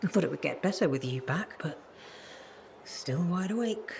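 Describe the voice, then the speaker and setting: An older woman voicing a wistful, fretful, loving mother in a video game, frank and gently chiding. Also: deep voice, lively intonation, moderate pace, vocal fry, a little hiss or hum.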